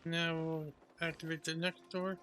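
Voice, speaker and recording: A man's voice: fairly high pitch, level tone, moderate pace, bright, forceful tone. A man narrating his own video game playthrough in casual commentary, thinking aloud in a laid-back way. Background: game music.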